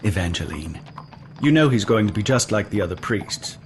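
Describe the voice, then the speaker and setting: A man's voice: lowish voice, lively intonation, moderate pace, slight background noise. A man playing a devout, world-weary and devoted companion in an audio drama, speaking earnestly and formally. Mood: worried, skeptical.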